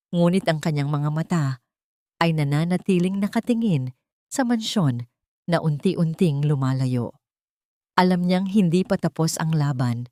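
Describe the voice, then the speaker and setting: A woman's voice: deep voice, a little mellow, dry recording, studio quality. A woman reading a dramatic scripted story as an audiobook narrator.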